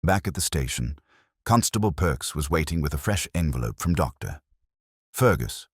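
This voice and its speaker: A man narrating a mystery story in a slow, hushed, deliberate way. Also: very deep voice, lively intonation, slightly rough, no echo, studio quality.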